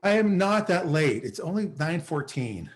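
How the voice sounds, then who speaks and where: A man's voice: on the high side, very animated, normal speed. A man playing a defensive, wisecracking slacker salesman in a sitcom read over a video call, with a dry, offhand manner. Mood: wry, offhand.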